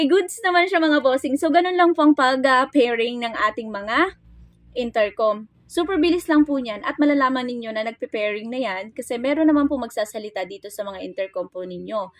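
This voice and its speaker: A woman's voice: sits high, moderate pace, no room sound, soft background music. A woman with a Filipino accent giving a casual hands-on product tutorial as a vlogger, chatty and informal, speaking straight to her viewers. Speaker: vlogger and motorcycle gear seller demonstrating products.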